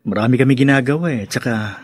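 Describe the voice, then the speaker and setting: An actor playing a young, mild, placating husband and dutiful son in a Filipino radio drama, hesitant, with a Filipino accent. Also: male voice, mid-range voice, fast, slightly rough, dry recording, clean studio sound, lightly acted. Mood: sheepish, reluctant.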